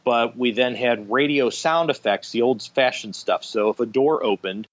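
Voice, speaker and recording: A man's voice: mid-range voice, normal speed, muffled recording. A middle-aged man telling anecdotes enthusiastically as an interview guest, in a conversational storytelling style. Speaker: guest, radio theater director.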